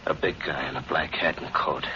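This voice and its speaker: A man's voice: extremely low pitch, fairly even intonation, brisk, bright, forceful tone, full character voice. A man playing a jittery, defensive hard-boiled American narrator in an old-time radio thriller, slangy and dramatic. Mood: grim, haunted. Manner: slangy, stammering under pressure, dramatic. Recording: muffled, very noisy old radio recording.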